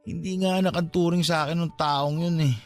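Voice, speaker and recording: A Filipino actor in a radio drama playing a drunk, self-pitying young boyfriend who feels neglected, with groggy delivery. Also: male voice, a little high, steady tone, brisk, natural voice. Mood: bitter, hurt. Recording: music behind.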